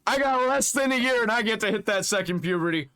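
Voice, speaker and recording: A man hosting a reaction video, mocking and swearing, sometimes dropping into a put-on deep voice. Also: animated, fast, bright, forceful tone, smooth. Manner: mocking, swings between a squeaky high register and a put-on deep voice; swears freely, reads search results aloud. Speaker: reaction channel host. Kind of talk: casual reaction commentary.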